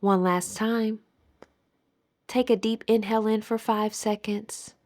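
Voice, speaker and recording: Slow, high-voiced woman guiding meditation. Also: steady tone, a little mellow. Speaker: guided meditation instructor. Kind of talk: guided meditation narration.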